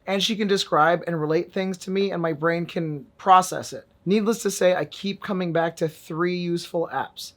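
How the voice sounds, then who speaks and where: A man's voice: sits high, normal speed, hardly any pauses, fairly bright tone. A man vlogging a casual app review in a wry, joking way, with sudden emphatic bursts. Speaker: VR fitness and language vlogger.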